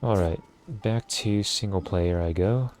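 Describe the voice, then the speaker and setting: A man giving casual, low-key gameplay commentary, thinking aloud as he livestreams. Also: measured pace, darkish tone, soft background music.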